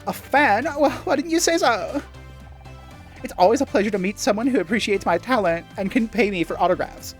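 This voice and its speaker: A man's voice: high voice, expressive, fairly quick, soft background music, voice pushed up. A man voicing a vain, catty female TV star in a video game, haughty and theatrical.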